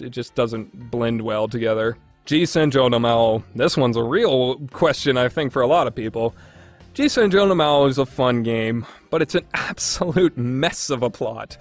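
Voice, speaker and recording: A man giving a casual, opinionated game review in a blunt, conversational way. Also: medium pitch. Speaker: video game reviewer hosting a podcast.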